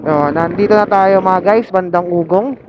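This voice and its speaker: A man's voice: sits high, normal speed. A Filipino man chatting casually as a motovlogger while riding, mixing English phrases into his talk through a helmet intercom mic. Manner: code-switches into English phrases, filler-laden. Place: on a motorcycle in city traffic. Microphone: Bluetooth helmet intercom linked to action camera. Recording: muffled helmet intercom mic with vehicle noise. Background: faint vehicle and road noise.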